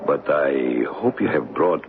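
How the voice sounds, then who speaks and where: An actor playing a menacing, cold-blooded villain in an old-time radio drama, speaking formally and deliberately with condescension. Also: male voice, extremely low pitch, expressive, heavily acted. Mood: mocking. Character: menacing male villain, a silky cold-blooded killer with umbrella. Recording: tinny, noisy old radio broadcast.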